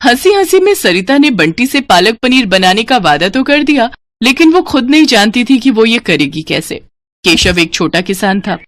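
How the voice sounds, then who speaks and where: Animated woman narrating stories. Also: on the high side, moderate pace. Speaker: storyteller, narrator. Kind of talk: scripted cartoon story narration.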